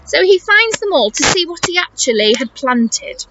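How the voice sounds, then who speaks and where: A woman's voice: very high-pitched, sing-song, normal speed, muffled recording, very noisy. A woman teacher reading a picture book aloud to young children, expressively, stopping to explain and ask them questions. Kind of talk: animated children's storytime read-aloud with questions to listeners.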